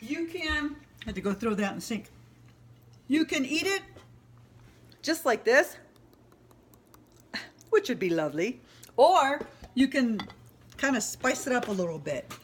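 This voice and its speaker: A woman in her fifties talks through a recipe in her home kitchen. She is chatty and rambling and laughs easily, and her voice sits a bit high. The camera mic picks up a faint hiss behind her. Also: very animated, measured pace, long pauses. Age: 50s. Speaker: home cooking vlogger. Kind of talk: casual cooking demo talk.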